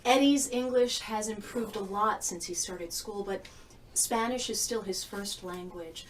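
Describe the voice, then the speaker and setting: A woman's voice: medium pitch, a little slow, lightly acted. An actress playing a mother in a training video, politely asking a principal about her son's testing, recorded from a distance with faint room noise. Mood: earnest. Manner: polite, earnest questions. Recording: distant mic with faint ambience.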